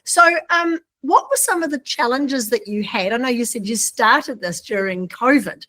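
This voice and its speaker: A woman's voice: fairly high pitch, expressive, moderate pace, a bit bright. A woman hosting a podcast interview, upbeat and full of praise for her guest. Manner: enthusiastic, effusive praise. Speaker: podcast host.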